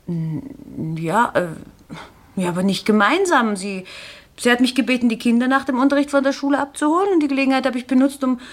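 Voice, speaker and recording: A woman's voice: sits high, fairly quick, close to an everyday voice. An actress playing a wife in a radio play who deflects her husband's questions and firmly pushes him to talk. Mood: defensive. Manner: firm, defensive, brushing off worries.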